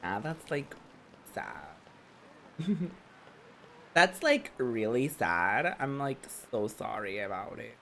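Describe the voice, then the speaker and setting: A young man streams a game and chats loosely over it, his voice hoarse and raspy from hours of talking. He rambles through stories with a steady stream of "like," and a faint noise sits behind him. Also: medium pitch, takes it slowly, pauses often. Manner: chatty, rambling, says "like" a lot. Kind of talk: casual let's-play commentary.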